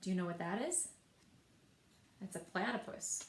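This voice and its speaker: A woman reading picture books aloud in an expressive way, speaking directly to young listeners and asking them questions. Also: lowish voice, moderate pace, slight background noise. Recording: echoey room.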